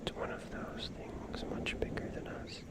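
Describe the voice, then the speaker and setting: An ASMR streamer whispering a musing monologue in halting, drawn-out phrases.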